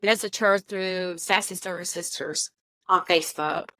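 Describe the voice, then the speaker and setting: A woman's voice: fairly low pitch, steady tone, unhurried, sharp tone, no echo. A woman in her sixties, a podcast co-host, chatting casually and rambling a little, laughing often. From Virginia. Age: 60s. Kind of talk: casual interview chat.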